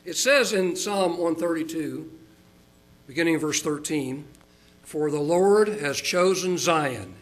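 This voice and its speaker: An older man from Oklahoma preaching a sermon as a pastor, folksy and chatty, slowing down for emphasis. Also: poor audio. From Oklahoma, United States. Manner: folksy and chatty, with chuckles and fillers; slows and rises in pitch for emphasis.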